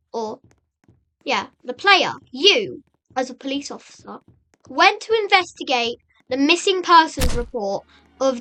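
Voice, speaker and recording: A woman narrates a story recap at a slow, halting pace, building suspense as she goes. Her voice is high and bright, and she leans on repetition and rhetorical questions for drama. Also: low-quality recording. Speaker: video narrator and host.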